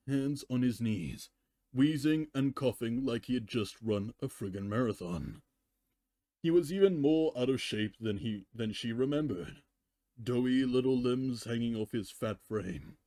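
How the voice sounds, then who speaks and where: A man narrates fan fiction at a slow pace. His voice is relaxed and mellow, and the sound is dry, as a streamer reading a story aloud. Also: mid-range voice, no room sound.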